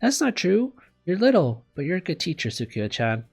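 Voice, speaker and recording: A man voicing an affectionate, devoted high school girl from a visual novel, earnest and exclamatory. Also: sing-song, a little fast, gravelly, faint music behind.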